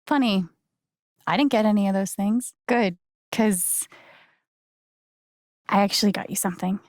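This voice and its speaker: An actress playing a young adult woman in a video game, warm, awkward and sarcastic, talking in a casual, halting, naturalistic way. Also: medium pitch, no room sound, clean studio sound, close to an everyday voice. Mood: playful teasing.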